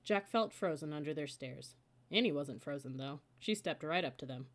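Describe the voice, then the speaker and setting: A woman reads a children's audiobook in a slightly low voice. She narrates the story as a storybook reader, carrying the listener through the scenes. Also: lively intonation, moderate pace.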